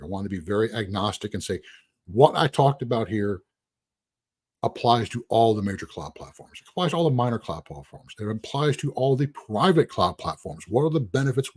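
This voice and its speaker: Husky-voiced man teaching live. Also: mid-range voice, no echo, clean studio sound. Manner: rambling, conversational, restarts and asides. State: losing his voice. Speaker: instructor. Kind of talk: casual live-stream lecture.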